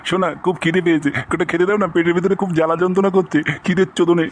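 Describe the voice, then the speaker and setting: A man's voice: high voice, level tone, racing, slight background noise, lightly acted. A man voicing an old husband in a comic cartoon, whiny and wheedling. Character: old husband, pompous yet pleading. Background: background music.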